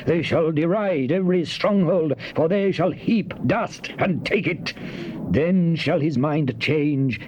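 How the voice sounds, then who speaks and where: A man acting an anguished prophet who pleads and laments before God in a solemn, drawn-out, declamatory scripture drama. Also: fairly high pitch, darkish tone, lightly acted. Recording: dull cassette tape with faint noise.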